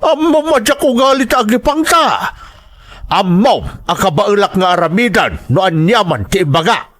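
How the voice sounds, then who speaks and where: A man's voice: sits high, expressive, normal speed, a bit bright. A man playing a stern, suspicious older father in an audio drama, protective and probing. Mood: stern, threatening. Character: stern, suspicious elderly father-in-law, protective of his daughter.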